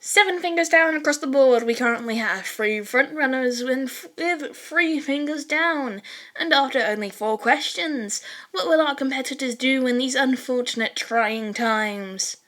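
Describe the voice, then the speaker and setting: A woman's voice: high-pitched, unhurried, fairly bright tone. A woman voicing a bubbly, dramatic teenage girl with exaggerated delivery in a story reading. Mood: mock announcer. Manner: over-the-top, exaggerated delivery.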